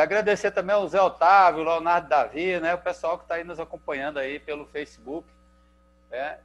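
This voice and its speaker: A man with a Brazilian accent hosting an online poetry evening in a chatty, filler-heavy way over a video call. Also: on the high side. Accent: Brazilian Portuguese. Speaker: host. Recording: dull video call audio with mains hum.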